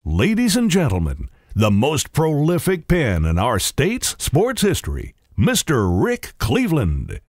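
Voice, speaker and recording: Male announcer, formal ceremonial intro, very lively pitch, dry close sound. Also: a little slow, no room sound.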